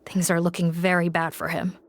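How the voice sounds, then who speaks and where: A woman's voice: lowish voice, monotone, a little fast, background noise, close to an everyday voice. A woman playing a practical, serious police officer in a video game, with a businesslike, composed delivery. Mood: grim, focused.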